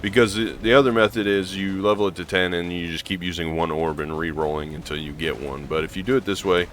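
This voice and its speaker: A hoarse man with a gravelly voice, rambling casually through gameplay commentary with lots of ums and restarts. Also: sits low, fairly even intonation, fast talker, a bit bright, slight background noise. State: hoarse from allergies. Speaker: gaming channel host.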